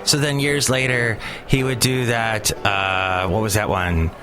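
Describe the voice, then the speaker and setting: A man's voice: lowish voice, fairly even intonation, a little slow, over music. Rambling chatty man hosting a podcast. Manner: rambling, chatty, many fillers and restarts. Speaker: podcast host. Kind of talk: casual solo podcast talk.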